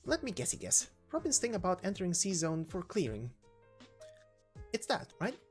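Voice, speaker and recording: A woman's voice: fairly low pitch, big pitch swings, normal speed, darkish tone, soft background music, pitched above the performer's own voice. A woman voicing playful, conversational characters who banter lightly in a video game story reading. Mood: playful, curious. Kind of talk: voiced visual novel story reading.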